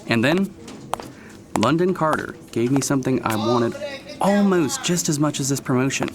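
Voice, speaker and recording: A man's voice: medium pitch, lively intonation, normal speed, close to an everyday voice. An actor playing an ambitious, self-assured male prosecutor in an audio drama, with a pointed, knowing delivery. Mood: confiding, reflective. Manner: confiding in narration, sharp and sarcastic in argument. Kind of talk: audio drama narration and dialogue.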